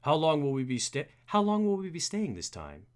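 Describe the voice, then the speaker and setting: A man's voice: a little high, wildly swooping pitch, normal speed, smooth. A man voicing a formal, courteous elderly British butler from a comic book, dry and devoted, with a hesitant delivery.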